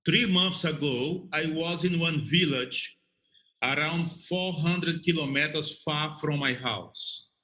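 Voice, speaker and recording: Male interview guest, non-native English, deliberate halting storyteller, forceful bright tone. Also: a little high. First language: not English. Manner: halting, repetitive, checks understanding. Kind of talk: conversational storytelling.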